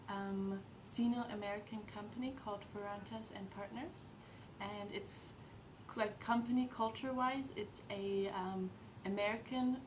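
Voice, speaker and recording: A young woman in her twenties, an intern, talking in a relaxed, chatty testimonial full of fillers. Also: mid-range voice, background noise. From Austria and Germany, grew up in China and Thailand. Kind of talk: casual testimonial.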